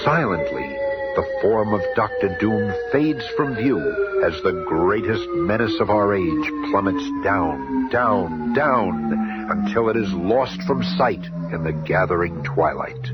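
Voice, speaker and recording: A man's voice: sits low, lively intonation, takes it slowly. A man narrating a 1970s superhero radio serial in a portentous, suspenseful style. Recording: dull vintage radio recording. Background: dramatic music.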